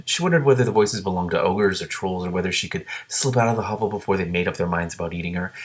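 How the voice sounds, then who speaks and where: Male book reader, low pitch, excitable, sweary asides between narrated passages. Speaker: reader and commentator. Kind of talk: reading a book aloud with reactions.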